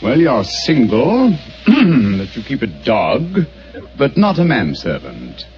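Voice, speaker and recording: An actor playing a calm, sharp male detective who talks with precise, dryly ironic deduction in an old radio drama. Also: mid-range voice, slow pace, faint music behind. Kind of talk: 1940s radio acting. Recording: dull old radio broadcast.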